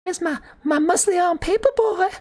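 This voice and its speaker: A man voicing a creepy, leering old man with a wheezy, very high falsetto. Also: moderate pace, darkish tone. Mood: lecherous.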